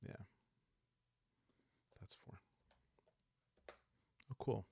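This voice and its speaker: A man calmly thinking aloud in an unhurried, casual way as he plays and narrates a solo roleplaying game. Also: in a whisper. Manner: calm, unhurried, musing, meant for relaxed listening. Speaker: host and solo player of a journaling roleplaying game.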